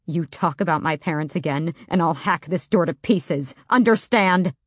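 A woman voicing a fierce, bitter young woman fighter in an audiobook, speaking sharp and raised. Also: on the low side, moderate pace, dry recording, studio quality, lightly acted. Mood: threatening.

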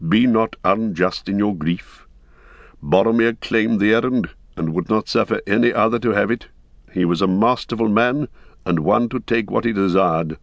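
A wise old wizard speaks gravely and in measured phrases, as a male reader voices him. His voice is low. The recording is dry and muted. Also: dry recording, muffled recording.

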